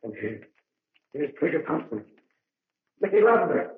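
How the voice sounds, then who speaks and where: A man's voice: fairly high pitch, big pitch swings, normal speed. An actor playing a nervous, stammering henchman crook in an old-time radio drama. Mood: awed dread. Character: nervous male crook, jumpy henchman trapped with partner. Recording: roomy, dull, noisy old radio recording.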